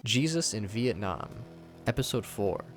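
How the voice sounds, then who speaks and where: A man's voice: on the low side, lively intonation, unhurried, faint music behind. A man hosting a video recap, narrating scripted commentary on horror episodes.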